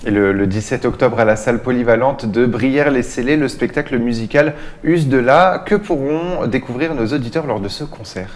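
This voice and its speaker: A man hosts a radio interview with an average-pitched voice. He puts prepared questions in a slightly halting way, sometimes repeating words as he goes.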